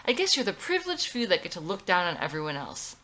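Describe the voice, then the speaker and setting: A stubborn, sardonic young woman played by a woman reader from a drama script. Her voice is bright and forward, with lively swings in pitch, and her manner is blunt and exasperated. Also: quick pace, heavily acted. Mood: scornful.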